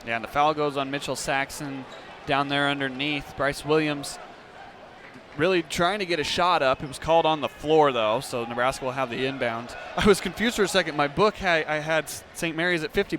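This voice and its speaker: Male radio color analyst with a higher-than-average voice, explaining calls conversationally over noisy basketball game sound. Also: fairly quick. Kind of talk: live basketball commentary and analysis. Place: indoor basketball arena. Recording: noisy arena broadcast. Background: loud game noise, basketball bounces.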